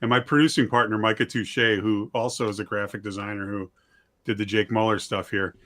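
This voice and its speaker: A man's voice: fairly low pitch, steady tone, fairly quick, fairly bright tone. A man chatting casually as an interview guest, informal and self-deprecating. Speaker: podcast guest, author and audio-play writer.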